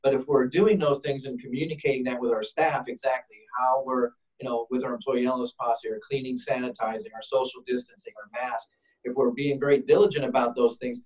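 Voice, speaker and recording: A man's voice: mid-range voice, steady tone, brisk, a little mellow. A man on a video call explaining food safety cleaning and employee illness policies. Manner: practical, reassuring. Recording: distant from the mic.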